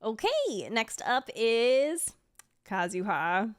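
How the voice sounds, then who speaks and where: A woman giving casual, gushing reaction commentary in a conversational, expressive way. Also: fairly high pitch, extremely slow, no echo, clean studio sound. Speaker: streamer ranking game character demos. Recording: music playing behind the voice. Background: background music.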